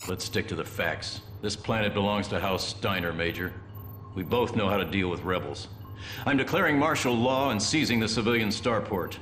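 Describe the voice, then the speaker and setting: An actor playing a cold, calculating military colonel in a game cutscene, speaking with measured, controlled menace. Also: male voice, on the low side, lively intonation, a bit bright, faint music behind. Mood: cold, threatening. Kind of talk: dramatic game cutscene acting. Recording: roomy echo, clear background noise.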